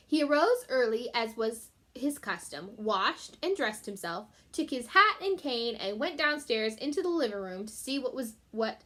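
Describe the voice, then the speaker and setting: A woman's voice: fairly high pitch, fairly bright tone. A woman teacher reading a children's storybook aloud, expressively, with chatty asides and simple explanations for her pupils.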